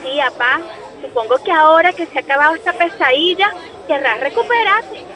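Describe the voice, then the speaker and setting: A woman's voice: very high-pitched, lively intonation, a little fast, sharp tone, heavily acted. A woman playing an affectionate, frank young adult daughter in a radio soap opera. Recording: faint crowd background.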